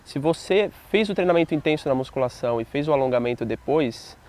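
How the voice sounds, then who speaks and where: A man's voice: fairly high pitch, expressive, fast talker, a little mellow. A man working as a fitness instructor, explaining a training question to viewers and checking in with them often. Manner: didactic, checks in with "legal?". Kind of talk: explainer to camera.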